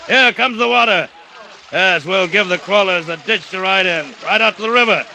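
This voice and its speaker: A bold plantation owner in a golden-age radio thriller. His high, piercingly bright voice drives out commands with stubborn, cocky confidence. Every line is forceful and theatrical. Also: normal speed, slight background noise, heavily acted, poor audio. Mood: triumphant. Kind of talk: 1940s radio drama acting.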